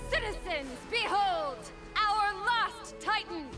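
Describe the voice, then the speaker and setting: A woman's voice: extremely high pitch, expressive, slow, music in the background, heavily acted. A woman voicing a young herald in a fantasy game, declaiming in a grandiose, ceremonial way. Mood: solemn, exalted. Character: high-voiced young female herald solemnly hailing a titan.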